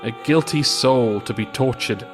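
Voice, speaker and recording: A man's voice: medium pitch, a little slow, music in the background, natural voice. A man voicing a stubborn, sinful soldier in a storybook folk tale, pleading and bargaining. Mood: resigned.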